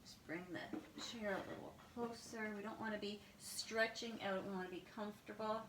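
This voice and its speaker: A woman leading a guided yoga class, giving calm, step-by-step instructions. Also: mid-range voice, normal speed, background noise. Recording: echoey room with music behind. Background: music.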